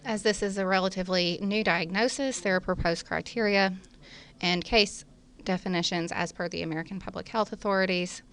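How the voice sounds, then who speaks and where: Clear woman lecturer with vocal fry. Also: mid-range voice, steady tone, a little fast, a bit bright. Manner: formal, technical explanatory phrasing. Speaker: physician lecturer. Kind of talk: medical lecture commentary.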